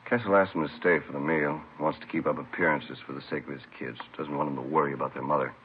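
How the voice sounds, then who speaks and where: A man acting a calm, matter-of-fact policeman briefing colleagues, understated, in old radio crime drama style. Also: rumbling low, fast. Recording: tinny, hissy vintage radio recording.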